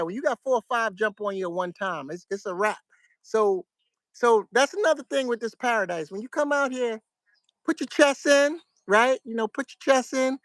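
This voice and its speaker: A middle-aged man from New York with a New York accent, giving casual, soft-spoken advice to his viewers in a fairly flat, monotone way. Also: sits high, no room sound. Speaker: vlogger.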